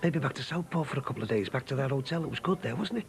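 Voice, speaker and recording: Brief: middle-aged lover, pleading and tender, lively rising voice, faint ambience. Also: male voice, medium pitch, racing, gravelly, slight background noise. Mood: coaxing. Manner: coaxing, tender, persuasive. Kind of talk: British TV drama acting.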